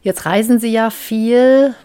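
A woman radio presenter introducing music and interviewing a guest in a measured, polished way. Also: a little high, fairly even intonation, warm tone, clean tone.

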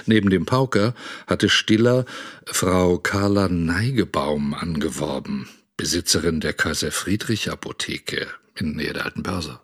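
Actor as very deep-voiced sardonic broadcast editor. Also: male voice, unhurried, fairly bright tone, slightly rough, full character voice. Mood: wry. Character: sardonic male broadcast editor giving his account. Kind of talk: radio drama narration.

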